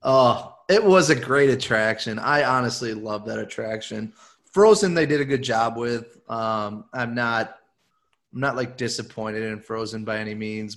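A man's voice: mid-range voice, fairly bright tone. A man from Michigan hosting a casual group podcast, upbeat and rambling with lots of fillers. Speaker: podcast host.

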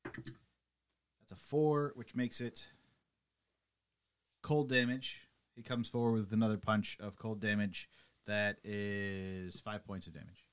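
A man's voice: lowish voice, steady tone, takes it slowly, long pauses, clear, resonant tone. A man playing a tabletop game, casually describing what his character does in the third person.

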